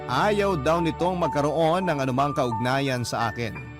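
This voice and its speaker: A man's voice: medium pitch, measured pace, over music. A man with a Filipino accent reading a scripted first-person narration for a radio drama.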